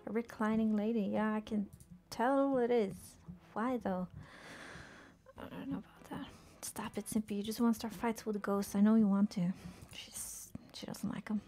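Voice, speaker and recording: A young woman streaming a game, murmuring casual commentary to herself and often slipping into a whisper, with a soft, soothing voice. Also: mid-range voice, steady tone, normal speed.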